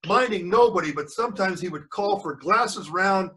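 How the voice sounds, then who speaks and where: An older man reading a storybook aloud as a grandfather would, pausing for chatty asides and questions to young listeners. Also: high voice, pushed and bright, far from the mic. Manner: pauses to quiz the listeners.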